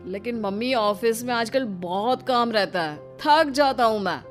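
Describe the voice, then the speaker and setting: A woman's voice: fairly high pitch, expressive, unhurried. A woman voicing a fed-up, complaining young husband and son in a cartoon story. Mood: weary, complaining. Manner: whining, fed up. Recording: music behind the voice.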